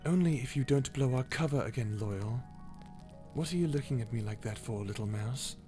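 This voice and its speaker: A woman's voice: extremely low pitch, lively intonation, moderate pace, warm tone, soft background music, pitched below the performer's own voice. A woman voicing an edgy, sly male vampire in a character audio roleplay, with a smug, drawling, sarcastic manner. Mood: smug, dry.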